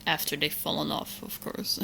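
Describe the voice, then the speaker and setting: Woman acting a low-voiced, lovesick yandere witch. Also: level tone, creaky, sharp tone, slight background noise, exaggerated voice. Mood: teasing, affectionate. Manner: teasing, smug, coy, with halting asides. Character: sweet, romantically obsessed young witch girlfriend, playfully possessive. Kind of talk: scripted girlfriend roleplay ASMR.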